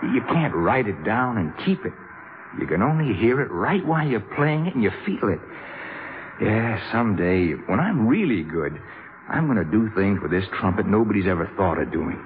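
An actor playing an earnest, shy young American jazz trumpeter with a halting delivery in a 1950s radio drama. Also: male voice, lowish voice, sing-song. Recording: muffled, hissy 1950s radio recording.

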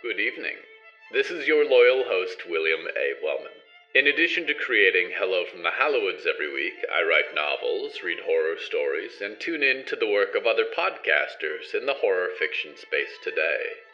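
A male podcast host delivers a scripted, theatrical horror introduction. His low voice is animated and crisp-edged, set over soft music. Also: normal speed, muffled recording. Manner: formal, theatrical.

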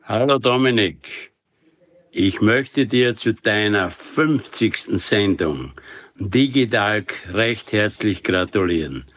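A man's voice: fairly low pitch, expressive, takes it slowly, fairly bright tone. A man giving a recorded congratulatory greeting as a podcast guest.

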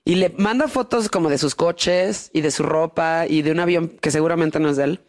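A woman's voice: low voice, brisk, a little mellow. Slangy Mexican woman hosting. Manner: slangy, candid, blunt and playful. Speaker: podcast host. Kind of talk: casual conversation. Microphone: podcast studio mic.

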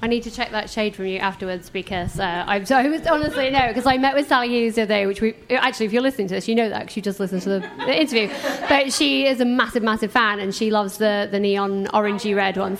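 A woman's voice: medium pitch, fast talker. A woman hosting a podcast in a studio, chatty and teasing, laughing as she talks. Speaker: podcast host. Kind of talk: casual chat, hosting a round of introductions.

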